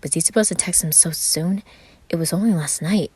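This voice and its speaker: Woman, low voice, scripted fiction narration, expressive, dry close recording. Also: fairly quick, breathy edge, no room sound.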